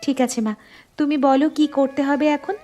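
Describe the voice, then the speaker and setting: High-voiced eager young daughter played by a woman. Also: steady tone, normal speed, dark tone, close to an everyday voice. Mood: earnest. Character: eager, caring young daughter who loves painting. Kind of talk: cartoon story voice acting.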